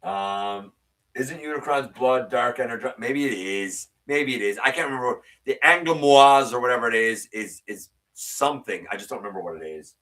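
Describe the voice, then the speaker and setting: A man hosting a live stream, reading viewer comments and answering them in casual, opinionated chat, his voice sometimes a little raspy. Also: animated, moderate pace, fairly bright tone, far from the mic. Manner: opinionated, ranting with rising pitch when worked up.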